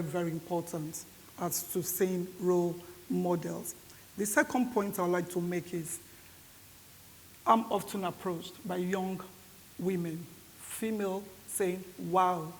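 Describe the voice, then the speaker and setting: A mature woman in her fifties or older speaks on a panel with a Nigerian accent. Her voice sits fairly low and she makes her points in a measured way. The hall rings around her, with audible hiss. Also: darkish tone. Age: 50s or older. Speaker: conference panellist. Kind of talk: panel discussion remarks. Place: reverberant indoor event hall. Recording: echoey hall with clear background hiss.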